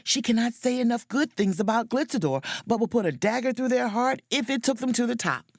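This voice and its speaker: Actress as proud woman sleuth, sharp-witted, flirtatious, theatrical, animated pitch, dry studio sound, sci-fi audio drama. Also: brisk, dry recording. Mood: scheming, excited. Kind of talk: audio drama acting with first-person narration.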